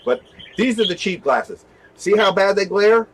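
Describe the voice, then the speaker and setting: A man of about forty with a fairly high voice talks casually to viewers. He rambles along as host, doubling back and repeating phrases as he goes. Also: expressive, unhurried. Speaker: video host.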